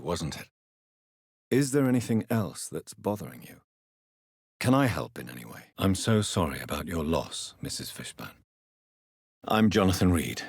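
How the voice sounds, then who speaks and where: A courteous, grave English doctor and war veteran turned vampire in a video game. His voice is very deep and husky, recorded close and dry. He speaks in formal, precise phrases with a widely moving, dramatic pitch. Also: no echo, full character voice. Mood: courteous, probing. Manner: formal, measured, precise diction.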